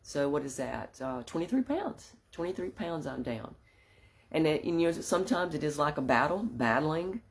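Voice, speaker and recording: A woman's voice: deep voice, lively intonation, moderate pace, gravelly. A woman hosting a women's wellness group in a casual live-stream chat. She has a slightly stuffy nose and a mild sore throat, and she talks earnestly while laughing at herself now and then. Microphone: phone or webcam for a live stream.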